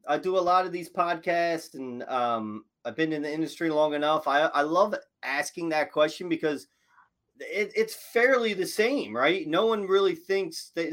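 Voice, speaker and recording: Host, male, laid-back interviewer, slightly high voice, lots of ums. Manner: relaxed, filler-heavy questioning. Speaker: podcast host. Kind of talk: casual interview chat.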